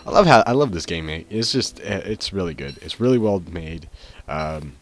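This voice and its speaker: Congested, nasal deep-voiced man. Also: expressive, moderate pace, slight background noise. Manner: rambling, full of ums and restarts. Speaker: gaming channel host. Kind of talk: casual gameplay commentary.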